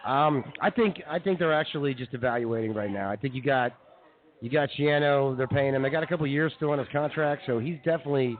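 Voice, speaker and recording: A man's voice: medium pitch, quick pace. A man co-hosting a radio show with informal, slangy banter about sports and jokes. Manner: informal, peppers talk with "dude" and "man". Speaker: radio co-host. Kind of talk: casual sports and comedy banter. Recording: tinny, narrow-band phone-like audio.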